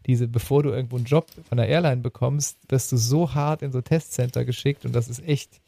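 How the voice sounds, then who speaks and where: Male podcast co-host, warm dark tone, dry recording, informal rambling storyteller. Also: mid-range voice, fairly even intonation, brisk, vocal fry, dry recording. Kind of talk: casual conversational anecdotes.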